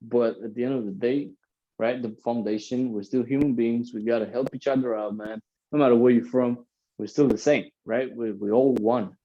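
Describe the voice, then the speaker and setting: A man's voice: medium pitch, fairly even intonation, moderate pace, mellow, lo-fi sound. A man from Romania chatting casually, earnest and rambling, often tagging "right?" and "you know". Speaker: NFT community host. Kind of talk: casual chat.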